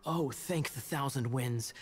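A suave young knight in a video game, worried yet flowery and courteous. His voice is husky and a little breathy. It sounds like game audio heard from some way off. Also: mid-range voice, unhurried.

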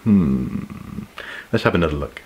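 A man's voice: rumbling low, animated, a little slow, highs cut off, slight background noise. A man, talking casually to camera in an impromptu archaeology vlog, musing aloud with plenty of ums and repeated words. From England. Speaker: archaeology YouTuber. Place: home study with shelves of collectibles.

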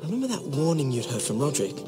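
A man's voice: on the high side, very animated, quick pace, over music. A young man playing an earnest, loyal, secretive servant informant in a video game drama.

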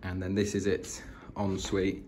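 Low-voiced British man giving a house tour. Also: monotone, a little slow. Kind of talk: casual walk-through narration. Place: inside an old house, walking between rooms. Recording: noisy indoor handheld home recording.

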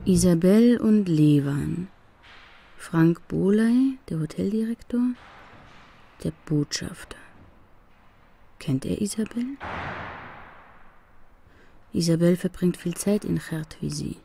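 A woman's voice: on the low side, slow, gravelly, long pauses, mellow. A woman acting as a guarded, determined policewoman in custody in a crime audio drama. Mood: tense, brooding.

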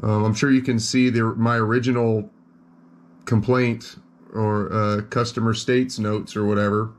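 A man calling a customer service line, explaining a complaint in a measured, mildly exasperated way. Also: fairly low pitch, flat delivery. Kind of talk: phone call. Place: indoors at a desk.

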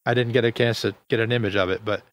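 A man interviewing a guest, with a loud voice, rambling casually with many fillers. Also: fairly low pitch, steady tone, fast.

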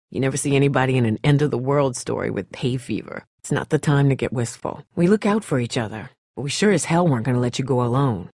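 A woman playing a tough, blunt, protective young survivor in a video game, with a husky voice and a terse, urgent, sardonic delivery. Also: a bass voice, darkish tone, no echo, clean studio sound, exaggerated voice. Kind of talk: dramatic game dialogue.

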